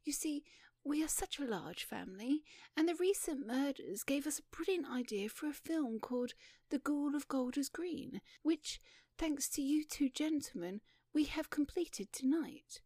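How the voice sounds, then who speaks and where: Woman as a shy, high-voiced, breathy young lady. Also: animated, unhurried, dark tone, no echo. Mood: shy, eager. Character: shy, earnest, polite young woman film-maker.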